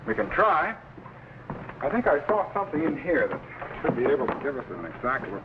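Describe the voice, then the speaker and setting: Lively authoritative time-machine captain. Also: male voice, fairly high pitch, a little fast. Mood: commanding, focused. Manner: crisp commands, instructive. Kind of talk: 1950s radio sci-fi acting. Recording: noisy muffled old radio recording.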